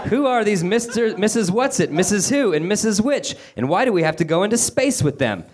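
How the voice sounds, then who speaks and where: Over clear noise, a man plays a bewildered young girl from a parody storybook. The voice is raised well up in pitch, whiny and full of complaint. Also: very animated, fairly quick, a loud crowd.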